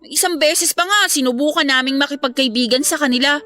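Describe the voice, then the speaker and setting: A woman's voice: high voice, fairly quick, bright, forceful tone. A woman playing a young daughter in a radio drama, complaining politely and plaintively, loyal to her brother. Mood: aggrieved. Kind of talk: emotional radio drama acting. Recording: music bed behind the voice.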